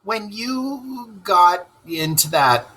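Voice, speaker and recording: A man voicing a stern, excitable male water rat who scolds emphatically in a children's storybook read-aloud. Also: high-pitched, drawn-out words, husky, pitched above the performer's own voice, exaggerated voice. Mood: earnest, excited.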